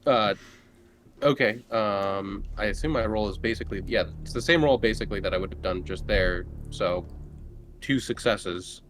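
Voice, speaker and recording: A man's voice: on the low side, moderate pace, background noise. A man playing a tabletop game, talking casually and thinking through his moves hesitantly as he goes.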